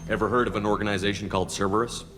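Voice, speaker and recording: A man's voice: lowish voice, steady tone, racing, a bit bright, slight background noise, close to an everyday voice. An actor playing a blunt male human commander who speaks firmly. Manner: blunt, firm.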